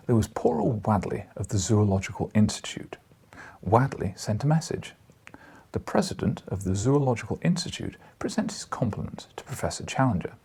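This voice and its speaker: A man's voice: medium pitch, warm tone, breathy edge, heavily acted. A man voicing a thin, dry, disdainful scientific journalist in an audiobook, gossiping with scornful emphasis.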